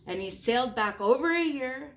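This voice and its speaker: A woman's voice: high voice, lively intonation, moderate pace, fairly bright tone. A woman reading a picture book aloud in a playful, chatty way, with casual asides to young children. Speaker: storybook reader.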